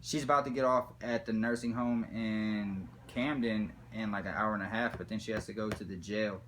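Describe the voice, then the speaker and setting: A man's voice: on the low side, steady tone, moderate pace, heavy background noise. A man talking casually in a rambling, profane, slangy way, like someone spinning comic stories. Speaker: storyteller.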